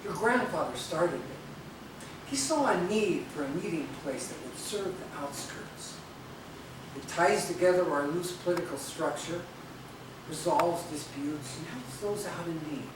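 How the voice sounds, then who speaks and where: An actor playing a warm but gruff elderly mentor and bar keeper in a stage play. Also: male voice, fairly high pitch, measured pace, lightly acted. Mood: fond, earnest. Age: older. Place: theatre stage, videotaped live from a distance. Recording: echoey noisy theatre hall, distant camera mic.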